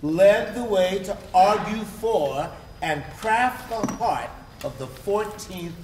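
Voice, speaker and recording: High-pitched man narrating slowly onstage. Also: animated. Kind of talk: scripted stage narration. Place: theatre stage. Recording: echoey theatre, distant mic, noisy.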